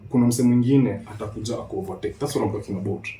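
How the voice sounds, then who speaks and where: A man's voice: fairly low pitch, rapid-fire, mellow. A young man with a Kenyan accent giving opinions in casual debate, restating his points in English mixed with Swahili slang. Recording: echoey, noisy, distant from the mic.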